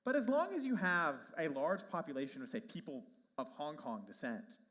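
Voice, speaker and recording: A man's voice: fairly high pitch, wildly swooping pitch, fairly quick, fairly bright tone. A man speaking on a panel in a casual manner, full of "like" and "um". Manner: casual, lots of "like" and "um". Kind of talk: panel discussion.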